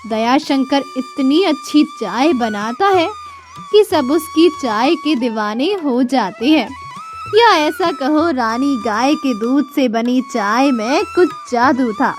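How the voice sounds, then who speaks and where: A woman reading scripted narration for a children's moral tale in a measured, deliberate storytelling manner. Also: high-pitched, expressive, soft background music.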